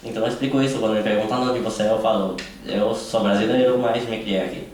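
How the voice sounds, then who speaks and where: A man's voice: fairly low pitch, steady tone, fast talker, darkish tone, a little hiss or hum. A teenage boy from Brazil, speaking on a panel in a thoughtful, reflective way. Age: teens. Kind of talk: casual panel talk. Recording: echoey hall, mic at a distance.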